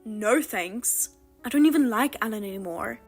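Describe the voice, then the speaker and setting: A woman voicing a betrayed older sister who talks in a flat, cold, restrained way. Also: on the high side, moderate pace, faint music behind. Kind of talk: narrated drama voice-over.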